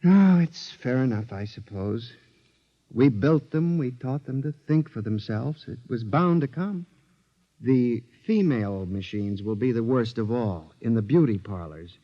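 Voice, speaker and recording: An actor playing a frantic, pleading patient in a mental hospital, in 1950s radio drama style. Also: male voice, mid-range voice, lively intonation, a little slow. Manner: earnest, pleading, rising to frantic. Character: man, a frantic asylum patient fearing machines. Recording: dull old radio broadcast.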